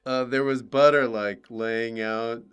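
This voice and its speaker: Male American podcast host, crisp resonant voice, casual joking chat with digressions. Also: mid-range voice, steady tone, slow, a bit bright. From United States.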